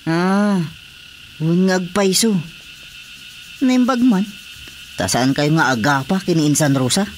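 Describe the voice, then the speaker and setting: Grumbling, jealous Ilocano husband. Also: male voice, high voice, measured pace, long pauses. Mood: complaining. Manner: grumbling, complaining. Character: disgruntled husband jealous of his wife's cat. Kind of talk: radio drama comedy acting. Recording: clearly noisy radio drama recording.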